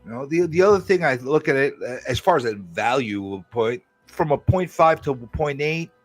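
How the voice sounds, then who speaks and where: A man's voice: medium pitch, animated, moderate pace, dry recording, soft background music. A man hosting a casual live unboxing stream, laid-back and jokey, swearing now and then. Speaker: channel host.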